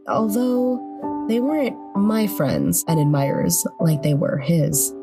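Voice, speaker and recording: Low-voiced woman narrating over music. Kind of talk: scripted horror story narration.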